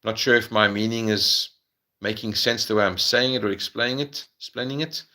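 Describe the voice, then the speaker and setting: A middle-aged South African man talks through viewers' questions on a live stream at home. His voice sits a little low but sounds bright and forward. He pauses often, with plenty of ums and uhs and restarts. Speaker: medium answering viewer questions on a livestream. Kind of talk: casual livestream Q&A.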